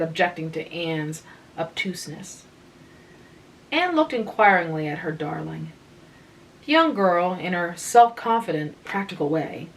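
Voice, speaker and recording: A woman reading a short story aloud in a calm, unhurried way, hosting her own channel. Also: lowish voice, rough recording. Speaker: reader and channel host.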